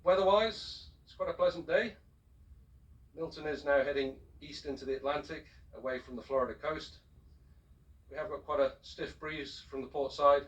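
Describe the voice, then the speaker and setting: A man speaking as a ship's captain, giving a formal, measured and courteous announcement over a public address system. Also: a little high, highs cut off. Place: aboard a cruise ship, heard over the public address system. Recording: distant shipboard PA speaker, picked up from afar.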